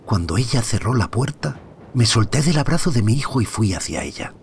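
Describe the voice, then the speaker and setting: A man playing an anxious married father who narrates a mystery audio drama in a brooding, hushed way, often dropping toward a whisper. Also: mid-range voice, sing-song, fairly quick, gravelly, slight background noise. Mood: uneasy, brooding. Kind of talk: audio fiction first-person dramatic narration.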